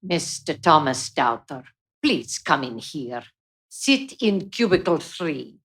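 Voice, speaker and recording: A woman's voice: low voice, very animated, slow pace, a bit bright, heavily acted. A woman playing a clinical, reassuring phlebotomist in a live radio play. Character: brisk, soothing female phlebotomist.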